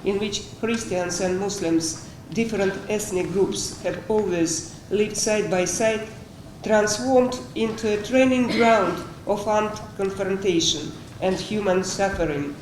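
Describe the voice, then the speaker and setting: A woman's voice: medium pitch, measured pace. A woman reading out a formal statement at a conference. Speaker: conference speaker. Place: conference room. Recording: roomy echo, faint background noise.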